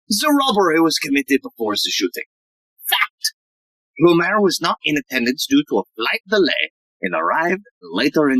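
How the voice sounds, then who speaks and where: A woman playing a pompous, self-important police inspector in a theatrical audio play, lecturing with dramatic pauses. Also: on the low side, a bit bright, husky, no echo, heavily acted, poor audio.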